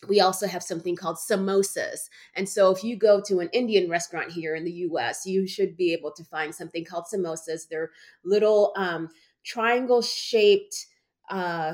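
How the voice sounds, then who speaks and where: A woman in her forties, originally from Uganda, talks as a guest in a conversational interview. Her voice sits a little low for a woman, and her delivery moves between bubbly enthusiasm and earnest conviction. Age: 40s. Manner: warm storyteller, bubbly when excited, earnest about faith. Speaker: guest author.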